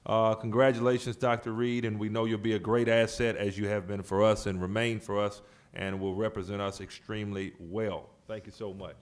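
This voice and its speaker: A man with a fairly low voice chairs a public board meeting. He moves through remarks and procedure in a halting manner, often restarting a phrase, keeping it official but informal. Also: level tone.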